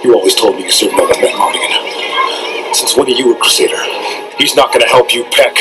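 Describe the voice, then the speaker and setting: An actor playing a gruff, cynical rebel soldier in fantasy film dialogue. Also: male voice, mid-range voice, fast, natural voice. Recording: distant film audio under loud music.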